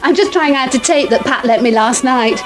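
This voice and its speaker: An actress playing a cheerful, teasing barmaid in a radio soap. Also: female voice, high-pitched, normal speed, faint music behind, lightly acted, low-quality recording. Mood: apologetic, light.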